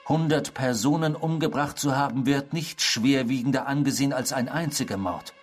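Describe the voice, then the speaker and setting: A man's voice: mid-range voice, normal speed, faint music behind, close to an everyday voice. Eerily polite, insistent philosophizing man played by an actor. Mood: grave, brooding. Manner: formal, insistent, pompous, unsettlingly polite. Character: intrusive, eerie Dutch man, philosophizing confessed killer. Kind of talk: German radio drama acting.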